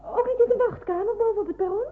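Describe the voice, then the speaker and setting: Very high-voiced, anxious young wartime nurse. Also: female voice, fast. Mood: bewildered. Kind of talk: Dutch radio drama acting. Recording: tinny, band-limited old radio recording.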